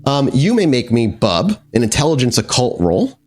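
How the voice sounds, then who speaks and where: A man narrating a tabletop vampire game as its game master, in a dramatic, halting storytelling manner. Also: medium pitch, very animated, a little mellow.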